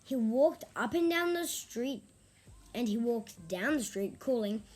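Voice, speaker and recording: An informal, youthful-sounding storyteller hosting a picture book read-aloud, sometimes halting and repeating words. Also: medium pitch, lively intonation, faint music behind. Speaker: story reader and host.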